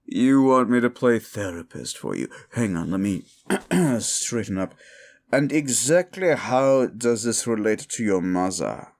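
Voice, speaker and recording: A man playing a cruel, sneering demon in an ASMR roleplay, sardonic and condescending, with a gravelly voice. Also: fairly low pitch, animated, measured pace. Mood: mocking, impatient. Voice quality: gravelly, creaky. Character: cruel, sneering male demon bargaining for wishes. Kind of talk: scripted ASMR roleplay addressed to the listener.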